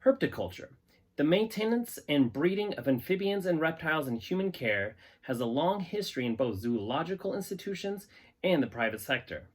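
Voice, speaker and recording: A man's voice: a little high, very animated, a little fast, slightly rough. A man hosting a hobby channel, talking to viewers and reading aloud from written material. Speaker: host of a reptile-keeping channel. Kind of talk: casual talk to viewers and reading a scientific paper aloud.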